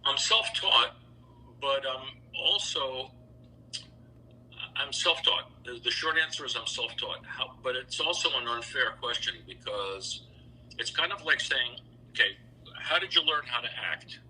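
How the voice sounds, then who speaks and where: A man's voice: medium pitch, animated, a little slow, sharp tone, slightly rough, rough recording. A man answering interview questions conversationally as a singer guest, emphatic and repeating himself for stress.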